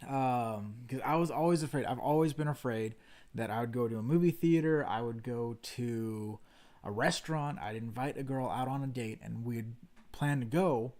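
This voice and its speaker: A man's voice: medium pitch, moderate pace, a little mellow. Rambling man telling a story. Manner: rambling, self-deprecating storyteller. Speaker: stream co-host. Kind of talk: casual storytelling chat. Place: home livestream setup.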